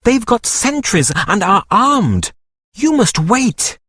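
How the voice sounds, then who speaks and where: A man's voice: extremely high pitch, very animated, slow, pushed and bright, slightly rough, dry recording, voice pushed up, heavily acted. A man reading a storybook and voicing a serious male water rat who lectures his friend. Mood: stern. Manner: stern, lecturing.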